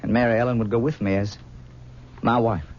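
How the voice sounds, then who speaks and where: A man plays a young son in an old radio play. He talks earnestly, often pleading and halting. The recording is muffled, with a faint hiss. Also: medium pitch, steady tone, lightly acted. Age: young adult. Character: anxious young man torn by his mother. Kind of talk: 1970s radio drama acting. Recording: dull 1970s radio broadcast.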